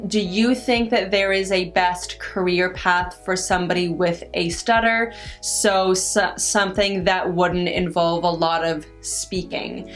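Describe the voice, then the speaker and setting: Young woman vlogger, casual Q&A, noticeable stutter with repeated first sounds, slow deliberate delivery, faint guitar music underneath. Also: on the low side. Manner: halting, many restarts and pauses. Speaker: vlogger answering viewer questions. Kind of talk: casual Q&A chat.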